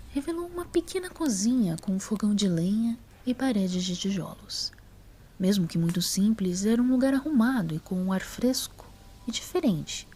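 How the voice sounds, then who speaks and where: A woman narrating a scripted story as an expressive storyteller. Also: mid-range voice, slightly rough.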